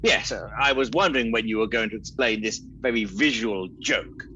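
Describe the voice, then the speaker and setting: A man playing a wry, indignant captive hero in a comedic radio play, with a husky voice and dry delivery. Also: lowish voice, moderate pace, bright, forceful tone, lo-fi sound, slight background noise.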